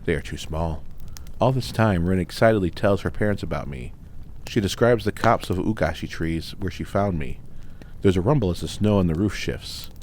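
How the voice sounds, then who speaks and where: A man reading a scripted first-person fantasy story as narrator, in measured, dramatic, deliberate phrases. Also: low voice, darkish tone, a little hiss or hum. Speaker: audiobook narrator.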